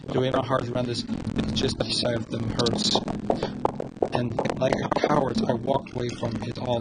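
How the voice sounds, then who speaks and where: A man livestreaming a visual novel and reading its narration aloud in a halting, stumbling way. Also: medium pitch, very noisy. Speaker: game streamer. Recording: loud music under the voice.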